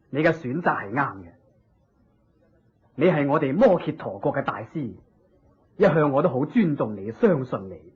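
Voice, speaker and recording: Husky, animated, inquisitive king. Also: mid-range voice. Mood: gracious. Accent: Hong Kong Cantonese. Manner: expressive, inquisitive, exclamatory. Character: hearty, curious, gracious king of ancient India. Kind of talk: Buddhist radio drama acting. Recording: dull lo-fi radio drama recording.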